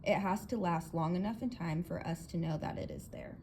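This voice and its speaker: A woman's voice: fairly low pitch, fairly quick, darkish tone. Emphatic, confident male inventor, read by a woman. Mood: earnest, lecturing. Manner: emphatic, explanatory, theatrical. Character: earnest, confident male inventor lecturing his guests. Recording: clear background noise.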